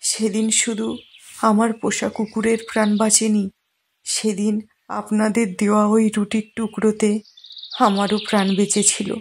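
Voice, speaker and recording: A woman playing a kind, grateful, generous village man in a cartoon, speaking politely and gently. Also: mid-range voice, monotone, normal speed, lightly acted. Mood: grateful, earnest. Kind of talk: cartoon moral-story dialogue. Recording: faint noise with birdsong.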